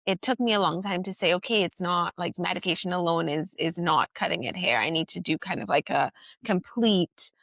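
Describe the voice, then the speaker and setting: Podcast guest: a woman from Trinidad and Tobago, low and gravelly, casual and reflective. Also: a little fast, fairly bright tone, dry recording. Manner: chatty, many "like" fillers and self-restarts. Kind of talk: casual reflective interview talk.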